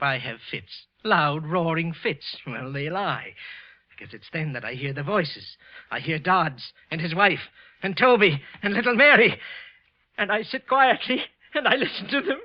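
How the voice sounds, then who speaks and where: An actor playing a deranged, obsessive murderer in 1940s radio thriller style, repeating himself from mutters to shrill frenzy. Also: male voice, expressive, normal speed, lightly acted. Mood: bitter, brooding. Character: deranged murderer clerk, gibbering, possessive of imagined voices. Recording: dull old radio recording.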